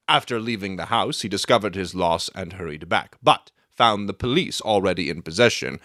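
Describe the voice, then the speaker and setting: A man playing a self-assured gentleman detective in a dramatic audiobook, theatrical and articulate as he expounds his deductions. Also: lowish voice, no room sound, studio quality.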